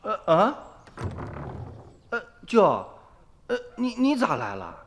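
A man's voice: high voice, huge pitch swings, slow, fairly bright tone, long reverb tail, slight background noise, heavily acted. An actor playing an evasive, nervous young village man in a radio drama, stammering and hesitant. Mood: startled, flustered. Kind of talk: Chinese radio drama acting.

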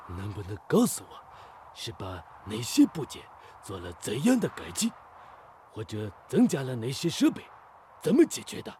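A Japanese engineering expert in a radio drama, formal and probing. His mid-pitched voice swings dramatically in intonation, over clearly audible background noise. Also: huge pitch swings, moderate pace, warm tone. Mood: incredulous. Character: Japanese male engineering expert, astonished then respectful.

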